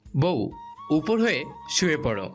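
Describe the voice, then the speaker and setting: A man's voice: on the high side, sing-song, measured pace. A man playing a pushy, coaxing newlywed husband in a romantic audio drama. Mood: insistent, commanding. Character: domineering, insistent young newlywed husband. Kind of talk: romantic audio story dialogue. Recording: music behind the voice.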